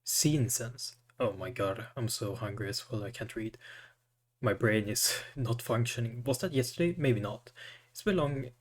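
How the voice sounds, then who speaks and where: A man streaming a game, commenting casually and reading aloud in a halting way, stumbling over words. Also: on the low side.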